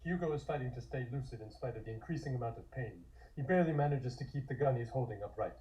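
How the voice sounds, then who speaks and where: A man's voice: mid-range voice, fast talker, muffled recording, crowd noise. A man reading scripted horror stage directions as the narrator of a table read.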